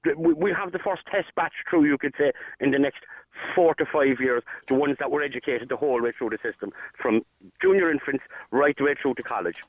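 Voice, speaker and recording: A man's voice: mid-range voice, a little fast, no echo. A man debating as a radio guest, insistent and repeating his points. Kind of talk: debating on air. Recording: dry phone line.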